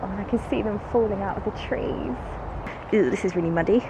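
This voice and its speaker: A woman's voice: medium pitch, a little fast, highs cut off. A British woman with a congested, bunged-up nose, walking through the woods as she chats to camera in a self-mocking way and laughs often. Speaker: vlogger and schoolteacher. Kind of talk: casual vlog chat while walking. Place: woods outdoors. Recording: noisy outdoor camera recording. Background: outdoor ambience, passing vehicles.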